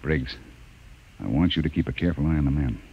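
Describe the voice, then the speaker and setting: An actor playing a stern, harried spaceship captain in old-time radio science fiction, with clipped, commanding delivery. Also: male voice, a bass voice, fast talker, gravelly, breathy edge, exaggerated voice. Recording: dull, noisy old radio recording.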